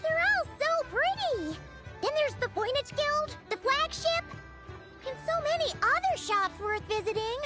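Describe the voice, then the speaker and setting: An extremely high, squeaky game fairy girl, tiny and cheeky. She swoops wildly through her exclamations like an excitable child, with prominent music playing behind her. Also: a little slow, heavily acted. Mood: pondering, eager. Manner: refers to self in third person, exclamatory. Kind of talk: video game voice acting.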